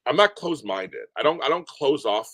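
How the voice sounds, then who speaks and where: Brief: deep-voiced male guest, casual rambling chat, dry close sound, mid pitch. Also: normal speed, breathy edge, no echo. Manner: rambling, repeats himself, animated. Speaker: podcast guest. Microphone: remote call from home.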